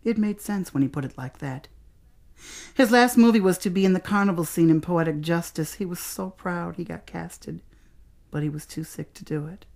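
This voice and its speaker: An American woman performing dramatic spoken-word storytelling in a theatrical way, with deliberate pauses and emphasis. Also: on the low side, gravelly, a little mellow.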